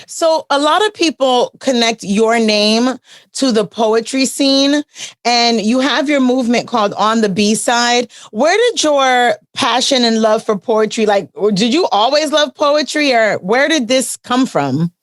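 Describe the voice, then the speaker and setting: A woman's voice: a little high, lively intonation, a little slow, no echo, studio quality. A middle-aged woman hosting a Sunday morning radio community show, upbeat and chatty with a faith-filled tone. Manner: upbeat, chatty, churchy warm-up talk. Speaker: radio host. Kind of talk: radio interview. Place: radio studio.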